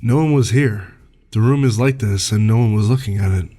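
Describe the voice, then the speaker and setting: A man's voice: fairly low pitch, a little fast, dark tone, lightly acted. Man playing a brash, rattled young guy. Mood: stunned. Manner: blunt, sweary. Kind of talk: horror audio drama acting.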